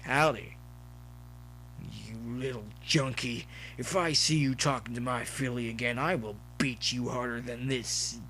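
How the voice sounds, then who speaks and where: A man voicing an angry, drunk male college pony bully who shouts threats in an audio story. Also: medium pitch, steady tone, measured pace, slight background noise, poor audio. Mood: threatening.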